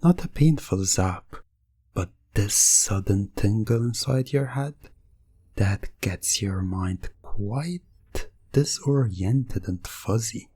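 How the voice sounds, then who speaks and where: Male ASMR hypnosis narrator, husky and breathy, very slow and measured, close dry sound. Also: on the low side, dark tone, no room sound. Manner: measured, pausing between short phrases.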